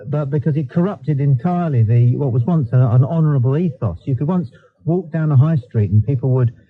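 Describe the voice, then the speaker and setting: A man's voice: medium pitch, fairly quick, slightly rough. A British man hosting a live radio phone-in, talking in a sardonic, mock-indignant way. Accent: British English. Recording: lo-fi phone-like radio recording, faint music.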